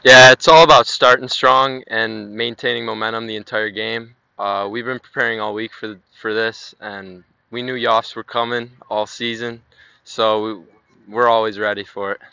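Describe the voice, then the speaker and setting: A young man, a high school lacrosse player, speaking confidently and upbeat in a post-game interview on a field at night with a handheld mic. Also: flat delivery, normal speed, creaky, bright, forceful tone, smooth, no echo, highs cut off. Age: young adult. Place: outdoor sports field at night.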